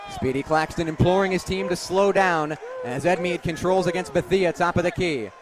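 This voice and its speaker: A young man doing animated live radio basketball play-by-play, vividly calling the action and raising his voice on big plays. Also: high-pitched, normal speed. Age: young adult. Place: courtside in a noisy basketball arena. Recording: loud arena crowd and bouncing ball. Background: crowd noise, basketball bounces.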